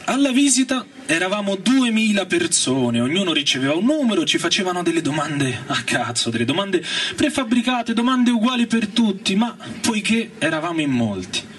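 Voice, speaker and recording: A man's voice: high-pitched, big pitch swings, normal speed, slight background noise. A man giving a dramatic, colloquial reading of a novel, telling it emphatically in short phrases. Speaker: reader and performer of a novel. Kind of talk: dramatic spoken-word reading with music. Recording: distant mic under loud music. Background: electric organ and guitar music.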